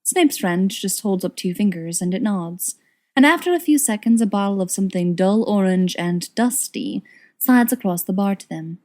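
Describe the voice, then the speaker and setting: Woman narrating a story, mid-pitched. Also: a little mellow. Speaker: audiobook reader.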